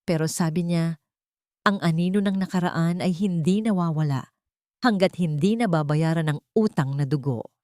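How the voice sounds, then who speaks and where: A woman's voice: lowish voice, normal speed, a little mellow, dry recording, clean studio sound, lightly acted. A woman voicing a respectful, polite waiter who passes on an ominous message in an audio story. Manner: polite, deferential.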